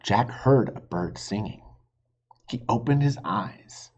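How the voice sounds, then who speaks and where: A man reading a children's chapter book aloud, talking gently to young listeners between passages, on a dull lo-fi recording. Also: fairly low pitch, lively intonation, slow pace. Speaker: reader for children.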